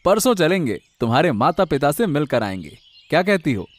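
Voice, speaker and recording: A man's voice: on the high side, very animated, brisk, dry recording. A man playing a bossy but caring husband in an acted story, chatty, emphatic and affectionately nagging. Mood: affectionate, planning. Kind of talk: acted Hindi audio story dialogue.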